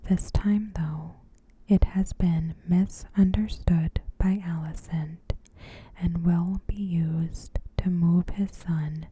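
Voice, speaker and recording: A woman whispers ASMR commentary in a soft, breathy voice on the low side. She reads gently and deliberately at a slow pace, with a very dark, velvety tone. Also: fairly even intonation, a little hiss or hum, poor audio. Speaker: ASMR host. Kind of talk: ASMR, scripted episode commentary.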